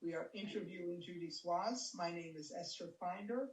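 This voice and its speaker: A woman interviewer asking polite, measured, scripted questions over a video call. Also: fairly low pitch, reverberant, distant mic, low-quality recording.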